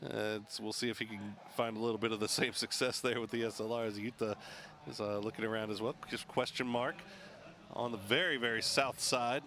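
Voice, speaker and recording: A man commentating a competitive shooter match, jokey and animated, laughing often. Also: on the low side, fairly quick, slight background noise. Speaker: esports caster. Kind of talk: play-by-play commentary.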